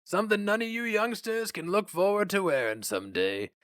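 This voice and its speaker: A man acts out a proud, kindly old company man in a dramatic story reading. He rambles in a folksy, wistful way. The voice sits higher, in a very dry recording. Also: expressive, a little fast, fairly bright tone, no echo. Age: older.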